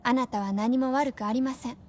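An actress playing a gravely ill, devoted wife in a radio drama, serene yet eager, with genteel old-fashioned polite speech. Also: female voice, on the high side, steady tone, brisk, darkish tone, slight background noise, lightly acted. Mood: serene, resolved.

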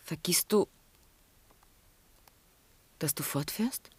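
A woman's voice: fairly low pitch, animated, very slow, darkish tone, slightly rough, no echo, exaggerated voice. An actress playing a proud, melancholy young woman in love, with intense theatrical delivery in a radio drama. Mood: hesitant. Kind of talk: 1960s German radio drama acting.

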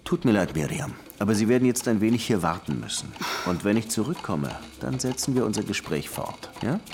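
A low-pitched male police lieutenant in a radio crime drama, dogged and brooding. He presses with his questions and turns reflective when narrating, with faint music behind him. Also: lively intonation, brisk. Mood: stern, pressing.

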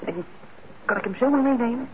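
An actor in a radio play as a shy, eager young working-class lad who stammers and hesitates. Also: male voice, extremely high pitch, a little fast. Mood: nervous, grateful. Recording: dull, noisy old radio recording.

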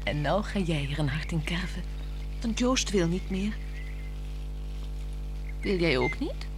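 An actress playing a playful, flirtatious married woman in a radio play, teasing and coaxing, moving between hushed words and exclamations. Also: fairly low pitch, lively intonation, a little slow. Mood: teasing, wistful. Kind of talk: 1960s Dutch radio play acting. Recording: 1960s radio drama with music.

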